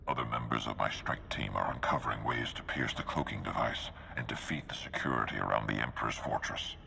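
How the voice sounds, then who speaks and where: An extremely deep, heavily gravelly male Jedi master. He is commanding and idealistic in a forceful game-cutscene delivery, over a faint rumble. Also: brisk, big-room sound, heavily acted. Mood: determined. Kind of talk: video game cutscene acting.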